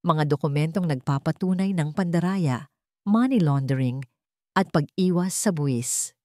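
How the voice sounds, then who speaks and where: Low-voiced woman narrating a dramatic story. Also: a little slow, dark tone, dry recording, clean studio sound. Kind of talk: scripted dramatic narration of a courtroom romance story.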